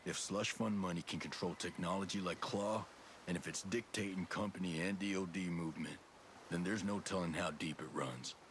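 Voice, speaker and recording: An actor playing a gruff, resolute special forces soldier in a video game, blunt and matter-of-fact. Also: male voice, low-pitched, fairly even intonation, normal speed, noisy background, natural voice. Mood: grave. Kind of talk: cinematic game acting.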